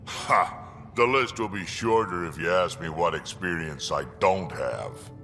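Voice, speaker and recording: An actor playing an ancient, blunt alien mercenary and grandfather with a gravelly voice in a video game. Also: deep voice, measured pace, bright, forceful tone, big-room sound, faint music behind, heavily acted. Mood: gruff, wry. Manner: blunt, coarse. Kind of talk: video game character dialogue.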